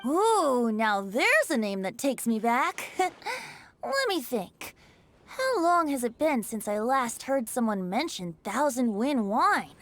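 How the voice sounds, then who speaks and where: A woman's voice: on the high side, very animated, takes it slowly, a bit bright, heavily acted. A woman voicing a playful, whimsical young male bard with a theatrical, lilting delivery in an anime-style game. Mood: musing, jaunty. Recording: music behind the voice.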